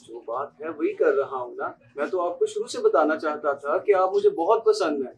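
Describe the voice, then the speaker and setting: A young man student acting an earnest, solicitous gentleman in an amateur domestic stage drama. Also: on the high side, lively intonation, a little fast, poor audio. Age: young adult. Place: university seminar hall stage.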